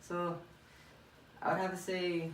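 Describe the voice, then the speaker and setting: A man's voice: high-pitched, completely flat delivery, takes it slowly, a bit bright. A young man from Miami, a professional boxer, chatting casually in an interview and thinking aloud with repeated phrases. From Miami, United States. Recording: echoey room, distant mic, faint noise.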